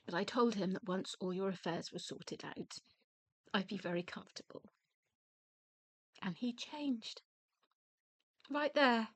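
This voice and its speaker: An actress in a radio drama playing a weary, emotional widow who speaks in halting, deliberate phrases. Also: female voice, medium pitch, animated, husky, dry recording. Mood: regretful, confiding. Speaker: actor in a radio play.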